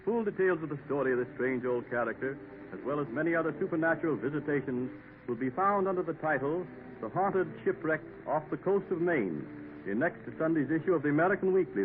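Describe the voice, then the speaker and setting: A male announcer in a polished, formal 1950s radio style, his voice thin and tinny like an old broadcast with a faint hiss behind it. His delivery is smooth and measured. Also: on the high side, expressive.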